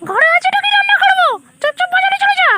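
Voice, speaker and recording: A man voicing a nagging, snappy cartoon housewife with a shrill, pitched-up voice. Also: rapid-fire, heavy background noise. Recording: loud music behind the voice.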